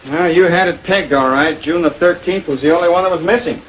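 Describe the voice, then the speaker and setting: An actor playing a wisecracking homicide lieutenant in a 1940s American TV crime drama, blunt, slangy and wry. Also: male voice, on the high side, a little fast, slight background noise, lightly acted, poor audio.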